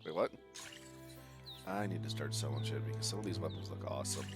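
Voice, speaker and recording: A man's voice: a bass voice, brisk, creaky. A man streaming a video game, nasal and stuffed up with a bad cold, rambling and joking as he reacts to the game. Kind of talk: live gameplay commentary. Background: game music.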